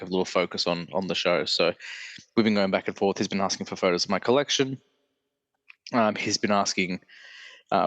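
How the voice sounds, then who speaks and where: Nasal-sounding man with a low voice. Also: a bit bright, long reverb tail. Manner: rambling, self-correcting. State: congested from illness. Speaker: podcast host. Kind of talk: casual solo podcast chat.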